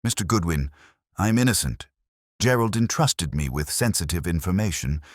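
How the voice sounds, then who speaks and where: A man voicing a frightened, banker-like gentleman in an audiobook, formal and defensive as he pleads. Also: deep voice, animated, moderate pace, no echo, clean studio sound. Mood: anxious pleading. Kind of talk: detective audiobook dialogue.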